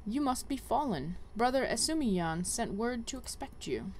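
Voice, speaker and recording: A woman's voice: fairly high pitch, sing-song, moderate pace, a little mellow, slight background noise. A woman voicing an earnest, inexperienced young female conjurer from a fantasy game, polite and hesitant as she reads the quest dialogue. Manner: polite, hesitant, archaic phrasing.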